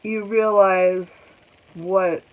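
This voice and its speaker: Slow, congested woman in her forties. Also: medium pitch, steady tone, narrow, tinny audio. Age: 40s. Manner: halting, reflective, with many pauses and ums. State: congested, clearing her throat often. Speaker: vlogger. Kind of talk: personal vlog talking to viewers.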